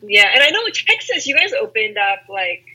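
A woman from Seattle, a company founder, speaking as a guest over a video call in a relaxed way with fillers. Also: mid-range voice, moderate pace, slightly rough, poor audio. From Seattle, USA. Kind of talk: casual video-call interview.

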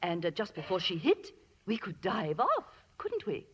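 A woman's voice: medium pitch, huge pitch swings, normal speed, husky. An actress playing a prim, determined, patriotic lady in a 1950s radio drama, with formal and precise phrasing. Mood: eager, scheming. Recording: dull vintage radio broadcast.

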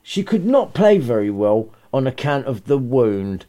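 A man's voice: mid-range voice, very animated, a little slow, warm tone. A man reading a children's story aloud and breaking off for sweary, sarcastic comments. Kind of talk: comic storybook reading with asides.

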